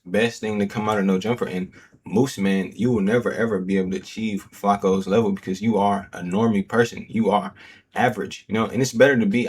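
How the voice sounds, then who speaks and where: Low-voiced man in slangy casual commentary. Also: slight background noise. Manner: slangy, loose, many fillers. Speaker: host.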